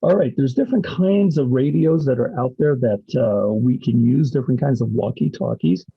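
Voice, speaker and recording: A man presents informally, like an instructor explaining slides. His voice is husky with a noticeable creak, and he pauses often mid-sentence as he explains. The recording is somewhat dull and lo-fi. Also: mid-range voice. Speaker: college instructor and radio club advisor giving a presentation.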